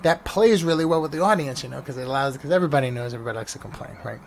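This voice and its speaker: A man from New York, a stand-up comedian, rambles through his own vlog podcast in a loose, animated way, circling back and restarting thoughts. His voice sits at an ordinary middle pitch. It comes through a slightly janky mic, with a faint engine hum in the background. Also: racing, gravelly. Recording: faint engine noise behind. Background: engine noise.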